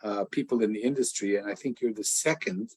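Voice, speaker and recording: Effusive, halting older man interviewer. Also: medium pitch, expressive, vocal fry, husky. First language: English. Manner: warm, effusive, halting with many restarts. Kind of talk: casual interview.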